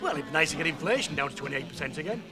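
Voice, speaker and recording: An actor playing an older pub regular who rambles and grumbles about the past. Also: male voice, fairly high pitch, animated, very fast, close to an everyday voice. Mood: grumbling. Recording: music behind.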